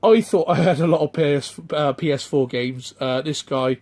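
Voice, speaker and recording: A middle-aged man, chatting off the cuff in a rambling, halting way full of ums and restarts. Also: on the high side, darkish tone. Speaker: retro game collector vlogger. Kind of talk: casual off-the-cuff vlog chat.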